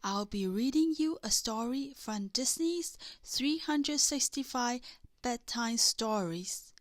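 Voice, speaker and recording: A woman reading a children's bedtime story aloud in a deliberate way, with dramatic emphasis. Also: fairly high pitch, expressive, dry recording, studio quality. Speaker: storybook reader.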